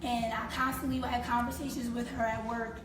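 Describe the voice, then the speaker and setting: A woman in her thirties, a slightly hoarse guest on a talk show, speaking animatedly and acting out what people said to her. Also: fairly high pitch, a little fast. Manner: animated, acts out quoted speech. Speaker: guest, creative consultant. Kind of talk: casual interview talk. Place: talk show set on stage, seated in armchairs. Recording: echoey room from far off, noisy.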